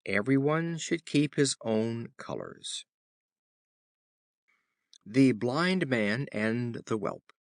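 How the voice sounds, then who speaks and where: A man reading children's fables as an audiobook narrator, in a measured, formal storybook style. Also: lowish voice, no room sound, studio quality.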